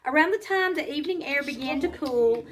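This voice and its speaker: A woman reads a storybook aloud to children, pausing to explain simply and ask them questions. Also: high-pitched, animated. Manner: simple explanatory asides and questions to young listeners.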